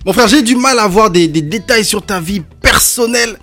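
A man's voice: sits high, a little fast, sharp tone, soft background music. A man from Congo hosting a casual, joking chat and teasing his guest. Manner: teasing, jokey. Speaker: host.

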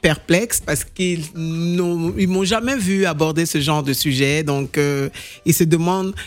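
A woman's voice: low voice, a little slow. Earnest woman singer as radio guest. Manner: earnest, explaining with repetitions. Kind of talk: radio interview. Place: radio studio.

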